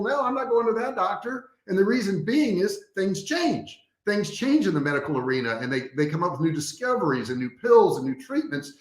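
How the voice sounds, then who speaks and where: A man giving a motivational coaching talk to a live online audience, direct and conversational, repeating key points for emphasis. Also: high-pitched. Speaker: business coach, host of a livestream training. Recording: echoey, roomy sound.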